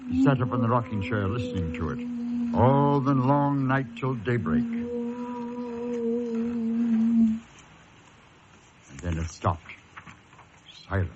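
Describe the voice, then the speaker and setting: An actor playing a brooding, ominous storyteller, delivering dramatic scripted narration with deliberate pauses. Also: male voice, mid-range voice, background noise. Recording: muffled radio recording, loud music behind.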